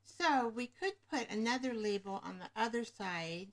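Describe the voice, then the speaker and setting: A woman hosting a casual craft livestream, rambling and pausing to search for words, speaking into a webcam microphone. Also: fairly high pitch, expressive. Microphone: webcam microphone above the screen.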